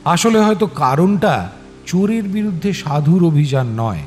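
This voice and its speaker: A man narrating a scripted story in a dramatic, suspenseful and expressive manner. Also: fairly high pitch, normal speed. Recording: music behind the voice. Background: clear background music.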